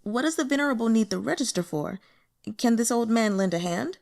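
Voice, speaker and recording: A woman's voice: on the high side, animated, brisk, vocal fry, darkish tone. A woman voicing a plump, middle-aged male sect elder who fawns over his superior, raising her voice above her narrating tone. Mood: fawning. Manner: obsequious, eager to please.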